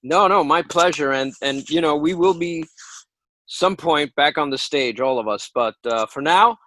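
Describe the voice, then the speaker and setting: A man's voice: on the high side, very animated, moderate pace, pushed and bright, a little hiss or hum, low-quality recording. A man hosting a casual interview, talking in an encouraging, complimentary way. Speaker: interview host.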